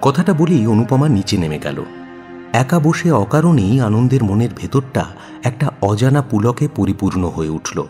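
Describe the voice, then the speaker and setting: A man's voice: medium pitch, sing-song, normal speed, darkish tone, soft background music. A man narrating a scripted audio story expressively, in the role of narrator.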